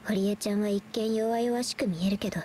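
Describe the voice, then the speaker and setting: Weary wounded woman painter, acted by a woman, breathy, subdued, remorseful, anime game drama, faint noise behind. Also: medium pitch, steady tone, fairly quick, dark tone, lightly acted. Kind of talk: Japanese anime game voice acting.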